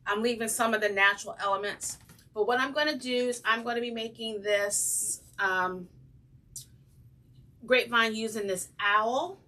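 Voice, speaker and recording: A woman with a slightly raspy voice hosting a casual crafting livestream, chatting with viewers and saying um often. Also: fairly high pitch, normal speed, sharp tone, distant mic. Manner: chatty, greets viewers by name, frequent ums. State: slightly raspy from breathing trouble. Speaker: craft channel host.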